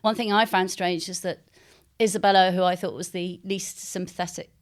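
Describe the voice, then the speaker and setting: A woman novelist being interviewed, speaking conversationally and thoughtfully, often pausing to search for words. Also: fairly low pitch, steady tone.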